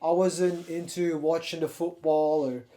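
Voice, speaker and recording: A man's voice: on the high side, level tone, takes it slowly, mellow. Blunt, profane young Turkish man. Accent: American-sounding English. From Turkey. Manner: blunt and profane, rambling with many restarts. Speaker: self-improvement YouTuber. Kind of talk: casual confessional monologue.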